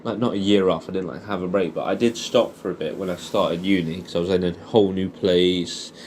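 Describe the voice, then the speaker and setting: Mellow-voiced young English man, rambling casually. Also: sits low, fairly even intonation, brisk, slight background noise. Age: young adult. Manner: rambling, hesitant, informal with swearing. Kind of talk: casual, intimate monologue addressed to an old friend.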